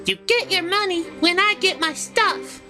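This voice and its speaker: A man puts on a squeaky little female smuggler, extremely high in pitch. She is brisk and businesslike, a comedy skit character with guitar music clearly playing behind. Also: lively intonation. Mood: brisk, demanding.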